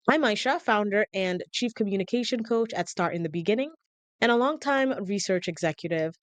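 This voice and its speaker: A woman speaks as a podcast host in a polished, articulate way, reading prepared introductions and questions. Her voice sits at a middle pitch, and the sound is close and dry. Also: dry recording. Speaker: podcast host, communication coach. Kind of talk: scripted podcast introduction and interviewing.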